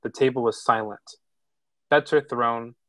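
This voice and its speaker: A man's voice: mid-range voice, fairly even intonation, a little slow, pushed and bright, dry recording. A man reading out the action lines of a film script as narrator at a table read.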